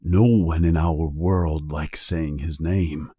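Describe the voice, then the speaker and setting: Guy doing a low growly big giant, slow, kind underneath, dry sound. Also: steady tone, dark tone, breathy edge, no room sound, exaggerated voice. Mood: gruff, troubled. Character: big gruff kindly male giant, soft-hearted.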